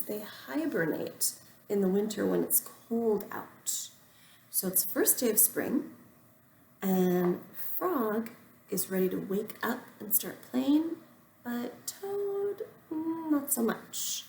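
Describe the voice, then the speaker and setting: A woman teacher reading a storybook aloud to young children, explaining simply with deliberate phrasing. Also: on the high side, animated, creaky, a little mellow, echoey room, slight background noise, rough recording. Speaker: first-grade teacher.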